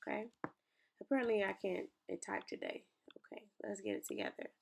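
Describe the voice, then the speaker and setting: Raspy, chatty woman with gravelly voice. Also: medium pitch, huge pitch swings, brisk, noisy background. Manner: chatty, upbeat, addresses viewers directly. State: raspy from early morning. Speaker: small business owner and lifestyle creator. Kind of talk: screen-share tutorial walkthrough.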